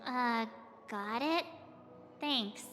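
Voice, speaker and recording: A woman voicing a perky teenage girl adventurer in a video game, with a casual, expressive manner. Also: a little high, drawn-out words. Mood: pensive. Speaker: game character voice actor. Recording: long reverb, game music underneath.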